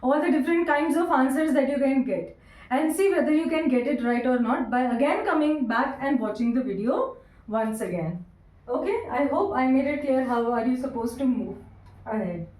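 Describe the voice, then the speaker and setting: A woman teaching English grammar, repeating each sentence slowly word by word and asking her students questions. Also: sits high, expressive, darkish tone. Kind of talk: classroom grammar lesson. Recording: echoey room, voice from a distance.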